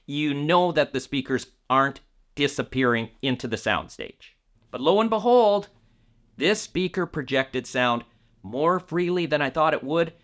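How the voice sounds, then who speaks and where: A man's voice: mid-range voice, a bit bright. Man giving an emphatic product review. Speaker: audio reviewer and video host. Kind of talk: review talk to camera, semi-scripted.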